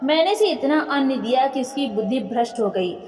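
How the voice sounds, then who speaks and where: A woman's voice: high-pitched, lively intonation, moderate pace, a little mellow. A woman voicing a sly, boastful male ghost servant in a storybook tale, deferential as he explains. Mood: explaining, proud. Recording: echoey room with long reverb.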